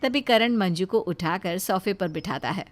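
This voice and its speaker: A woman's voice: mid-range voice, normal speed, soft background music. A woman narrating a scripted moral story as a storyteller.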